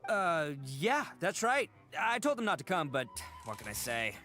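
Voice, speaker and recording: Brash, animated young oni gang boss. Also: male voice, a little high, normal speed, music in the background. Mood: sheepish bluffing. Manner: swaggering, theatrical, colloquial.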